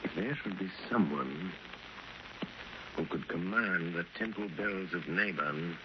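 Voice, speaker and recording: Very deep-voiced, mysterious mystic gentleman. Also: measured pace. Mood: solemn, mysterious. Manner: grave, solemn, portentous. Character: mysterious, suave man of secret mystic powers. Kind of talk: 1930s radio mystery acting. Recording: hissy, muffled old radio recording.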